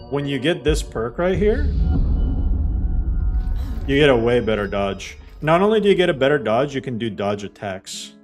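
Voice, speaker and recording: A man live-streaming a game, chatting loosely with viewers and walking them through steps, with lots of "like" fillers. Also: medium pitch. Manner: explains things step by step to viewers. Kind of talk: casual live-stream chat while playing.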